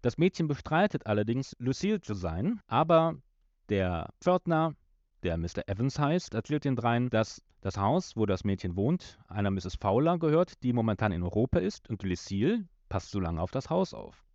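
A man co-hosting a podcast, retelling a story in a casual, semi-scripted way and often halting to correct himself mid-word. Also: medium pitch, darkish tone, no echo. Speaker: podcast co-host. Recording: slightly dull, dry lo-fi microphone.

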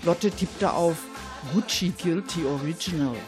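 A woman's voice: on the low side, measured pace, over music. A woman reading scripted narration for a crime story.

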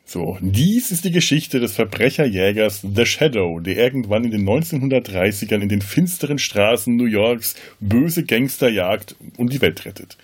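A man with a mid-pitched voice hosts a podcast, reading from a prepared summary. He delivers it in a wry, tongue-in-cheek way, adding dry asides as he goes. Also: husky. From Franconia, Germany. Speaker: podcast host.

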